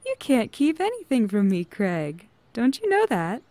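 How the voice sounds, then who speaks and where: Casting: actress for possessive, coaxing witch, animated intonation, 1940s radio-thriller style, sweetly threatening. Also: fairly high pitch, unhurried, dry recording. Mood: sweet menace. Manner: coaxing, sugary, threatening endearments. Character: sweetly menacing, jealous, possessive immortal witch wife. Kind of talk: retro 1940s radio drama acting.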